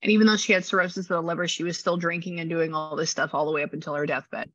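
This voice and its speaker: A woman with a low voice and a slight rasp, chatting through a spirit reading and passing on messages. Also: steady tone, fast, fairly bright tone, no echo, dull audio. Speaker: psychic medium.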